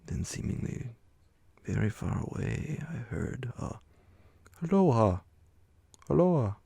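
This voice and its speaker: Slow, gravelly, very deep male horror narrator. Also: expressive, warm tone, dry recording. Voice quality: gravelly, breathy, often sinking toward a whisper. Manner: dramatic, drawn-out phrasing with many pauses. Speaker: narrator reading a classic horror story aloud. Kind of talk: slow ASMR bedtime audiobook narration.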